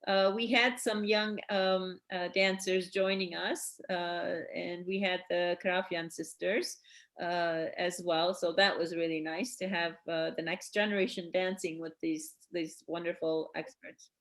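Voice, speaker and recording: Unhurried woman hosting informally online. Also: on the low side, flat delivery, a bit bright. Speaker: presenter hosting online session. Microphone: video call.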